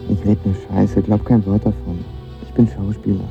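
A man's voice: on the low side, little pitch movement, normal speed, vocal fry. An actor playing a lovestruck, nervous young man who tells his story in casual, slangy radio drama narration. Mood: eager, self-deprecating. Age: young adult. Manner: casual, slangy, self-deprecating. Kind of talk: first-person radio drama narration with dialogue. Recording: slightly dull audio with music behind.

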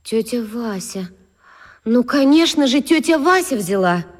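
An actress playing a worried, well-meaning schoolteacher, agitated and expressive, in a children's radio play. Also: female voice, on the high side, measured pace, big-room sound. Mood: anxious, suspicious.

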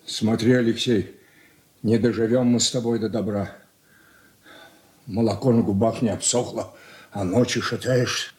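A man's voice: lowish voice, steady tone, moderate pace, pauses often, darkish tone, natural voice. An actor playing a stern, weary older merchant father in a Soviet radio drama, scolding his son in reproachful, old-fashioned colloquial speech.

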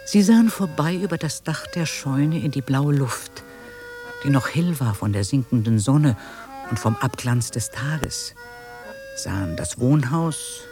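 A man narrating a radio drama in a measured, literary storytelling manner. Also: mid-range voice.

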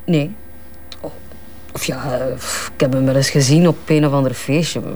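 A woman's voice: very deep voice, steady tone, normal speed, mellow, slight background noise. An actress playing a haughty, defensive advertising businesswoman with a Flemish accent, curt and evasive, in a naturalistic radio crime drama. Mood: guarded, defensive. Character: brisk, guarded, haughty businesswoman at advertising firm.

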